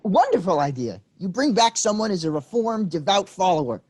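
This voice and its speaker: An actor playing an eager, deferential disciple who earnestly prods and flatters his boss in a comic play reading. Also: high voice, brisk, a little hiss or hum.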